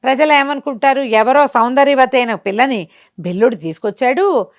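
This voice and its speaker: A woman's voice: high voice, a little fast. A woman telling a folk tale conversationally, often asking and answering her own questions. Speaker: storyteller. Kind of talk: spoken folk-tale storytelling.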